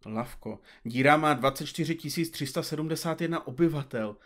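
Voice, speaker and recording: A man's voice: a little high, fairly bright tone, close to an everyday voice. Wry, teasing detective sidekick played by a man. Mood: matter-of-fact. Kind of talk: audio drama dialogue.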